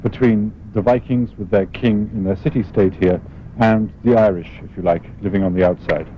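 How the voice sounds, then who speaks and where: A man's voice: fairly low pitch, fairly even intonation, normal speed, slight background noise. A man interviewing a historian while walking through city streets, prompting him with questions. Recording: muffled 1980s broadcast outdoors.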